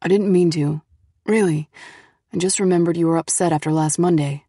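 A woman's voice: lowish voice, fairly quick, dark tone, slightly rough, dry recording, studio quality, pitched below the performer's own voice. A woman voicing a casual, teasing teenage boyfriend in an audiobook story. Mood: reassuring. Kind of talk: audiobook character dialogue.

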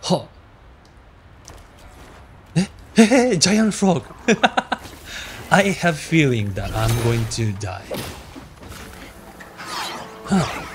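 A man's voice: fairly high pitch, big pitch swings, extremely slow, pauses often, a little hiss or hum. A Japanese man with a Japanese accent giving casual, playful gameplay commentary, reacting aloud and laughing often. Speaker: gaming streamer.

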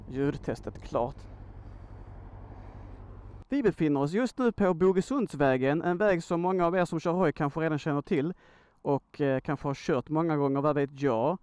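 Male vlogger from Sweden, smooth clear voice a little on the high side, informal and slangy, unscripted. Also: darkish tone. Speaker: motorcycle vlogger, host. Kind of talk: casual chatty vlog monologue. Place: outdoors on a motorcycle by a road.

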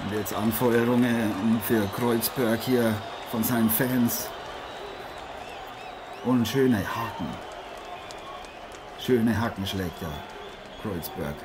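A man's voice: lowish voice, takes it slowly, long pauses. A man playing an admiring, chummy ex-champion boxer commentating a fight live in a radio play, repeating his phrases and addressing listeners as friends. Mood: engaged, admiring. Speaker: sports commentator. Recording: noisy arena crowd behind the voice.

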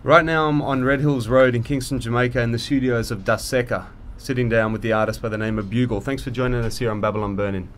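A man interviewing a guest in a studio, asking calm, brief questions. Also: medium pitch, quick pace, hardly any pauses, faint music behind. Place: recording studio.

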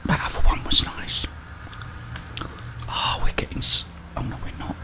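A middle-aged British man whispering ASMR game commentary in short murmured reactions, close to the microphone. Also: normal speed. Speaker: gaming streamer.

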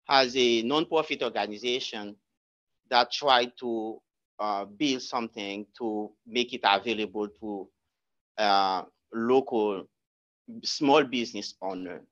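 Man, guest speaker, halting repeated phrases, middle pitch, slightly muffled sound. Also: fairly bright tone. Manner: halting, with many restarts and repeated words. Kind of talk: answering audience questions at a meetup talk. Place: lecture room.

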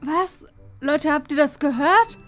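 A woman's voice: high voice, slow pace, a bit bright, soft background music. A woman playing a dramatic, slangy, grumbling school pupil who whines in a children's comedy skit. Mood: outraged. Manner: whining, slangy, exaggerated. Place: small classroom.

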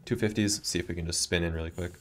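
A young man in his twenties chatting casually on a headset while streaming slots, relaxed and halting. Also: low voice, faint music behind. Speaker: slot streamer co-host. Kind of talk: casual chat over gameplay. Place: home streaming setup, indoors.

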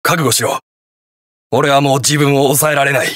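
An actor playing a brash, hot-blooded young detective hero in a video game, gruff, terse and defiant. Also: male voice, medium pitch, steady tone, moderate pace, a bit bright, no echo, studio quality, lightly acted. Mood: defiant, fierce. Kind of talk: video game battle voice lines in Japanese hero-show acting.

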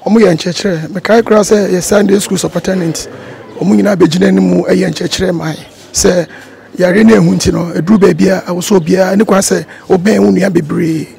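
High gravelly-voiced Ghanaian man. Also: darkish tone. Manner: earnest advice, mixing in English words. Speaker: church member. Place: outdoors by a wall. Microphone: handheld microphone.

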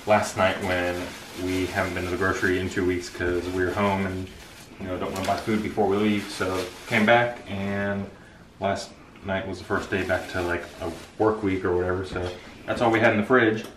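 Very deep-voiced young American man. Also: little pitch movement, moderate pace. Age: 20s. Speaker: vlogger. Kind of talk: casual grocery haul chat. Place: open kitchen and dining room at home. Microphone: camera a few feet away. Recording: echoey room, clear background noise, rustling clicks.

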